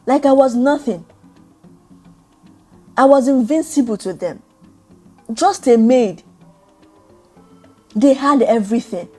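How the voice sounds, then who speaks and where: A woman voicing a young maid in a story, bitter and guilt-ridden, confessing brokenly. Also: on the high side. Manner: hushed, breaking, anguished confession.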